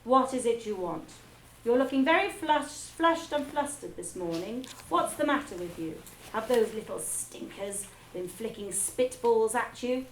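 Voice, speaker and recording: A woman voicing a bullying, barking headmistress who hardly ever speaks in a normal voice, loud and impatient. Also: a little high, expressive, measured pace, slight background noise. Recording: echoey room, background ambience.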